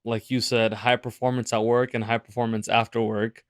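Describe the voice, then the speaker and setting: A man's voice: mid-range voice, normal speed, no room sound, studio quality. A young man hosting an interview, talking casually and animatedly. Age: young adult. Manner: animated, casual, uses "like" often. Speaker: podcast host. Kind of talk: interview chat.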